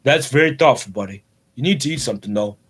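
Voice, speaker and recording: A man playing a kindly, patient policeman father talking warmly and conversationally with his young son. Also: medium pitch, expressive, fast, husky, pitched below the performer's own voice. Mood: caring.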